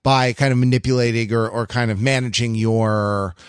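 A man co-hosting a podcast, discussing film casually and analytically in a halting way, often repeating words as he works out his ideas. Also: medium pitch, steady tone, clear, resonant tone, no room sound, clean studio sound. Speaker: podcast co-host.

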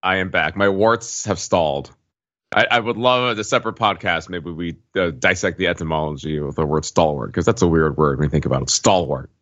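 A man's voice: low voice, brisk, a bit bright, lo-fi sound. A man bantering casually with dry wordplay as a podcast regular.

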